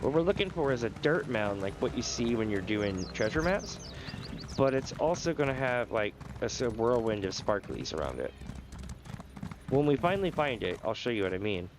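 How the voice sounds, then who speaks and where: A man's voice: medium pitch, sing-song, normal speed, over music. A man with a sore throat and a nasal voice, casually explaining a game to viewers during a live stream. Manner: casual, explanatory, chuckles often. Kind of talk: live gameplay commentary.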